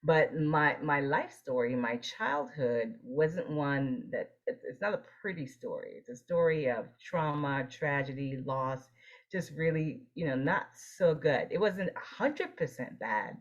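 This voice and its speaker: A woman's voice: sits low, measured pace, dull audio. A woman from Haiti, speaking as a guest in an interview and telling her personal story in an earnest, reflective way. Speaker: guest, optometrist and leadership coach.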